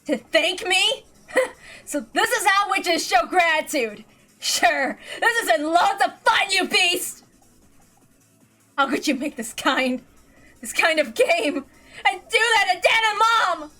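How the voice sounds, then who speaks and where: A woman plays a defiant young girl in a visual novel. Her voice is high and shrill, breaking into tearful, anguished outbursts over background music. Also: lively intonation, takes it slowly, sharp tone, heavily acted. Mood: bitter, outraged. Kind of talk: dramatic visual novel reading.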